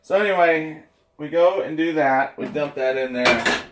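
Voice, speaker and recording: A man's voice: fairly high pitch, normal speed, heavy background noise. A man hosting a casual cooking video in a home kitchen, joking and chatty. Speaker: cooking vlog host.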